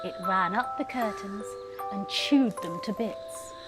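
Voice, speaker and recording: Female children's storyteller, medium pitch, measured pace, expressive scripted narration. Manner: expressive, emphasizes sound words. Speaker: professional storyteller. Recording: music behind the voice. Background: music.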